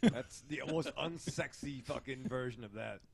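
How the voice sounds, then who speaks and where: A man's voice: mid-range voice, normal speed, creaky. A man co-hosting a podcast in casual, joking banter, crude and heckling. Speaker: podcast co-host.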